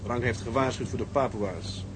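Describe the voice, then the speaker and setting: Actor as level-headed skipper over ship noise. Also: male voice, mid-range voice, moderate pace, close to an everyday voice. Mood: sober. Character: sober, level-headed male tugboat skipper. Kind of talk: Dutch radio drama acting. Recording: clear background noise, ship ambience.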